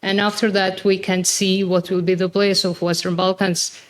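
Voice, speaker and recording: A woman's voice: mid-range voice, little pitch movement, normal speed, rough recording. A woman with a Serbian accent giving hesitant panel remarks, full of fillers. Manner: hesitant, many fillers, careful phrasing. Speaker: book author and panel speaker.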